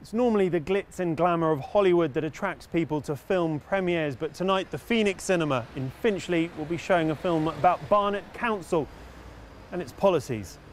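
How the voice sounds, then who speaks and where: A man reports from location in a voice a little high for a man. Faint street and traffic noise runs behind him. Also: brisk. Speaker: news reporter.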